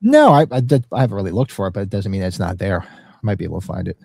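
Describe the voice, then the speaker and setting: A man's voice: on the low side, sing-song, racing, gravelly, mellow, husky, no echo, studio quality. A congested man with a nasal, sniffly voice hosting a livestream podcast in casual, informal banter. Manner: informal, occasional swearing. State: congested and sniffly from allergies, slightly sore throat. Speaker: podcast host.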